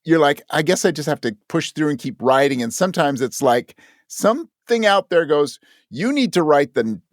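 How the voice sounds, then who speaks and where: A man being interviewed about his writing, talking in a thoughtful, halting way and voicing his own thoughts as quotes. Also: fairly high pitch, big pitch swings, no room sound, studio quality. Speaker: interview guest, audio drama writer.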